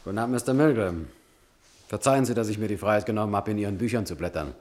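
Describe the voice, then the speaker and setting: An actor playing a young, struggling Hollywood screenwriter in a German radio drama, wry, charming and flattering. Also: male voice, on the low side, rapid-fire, lightly acted. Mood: ingratiating, wry.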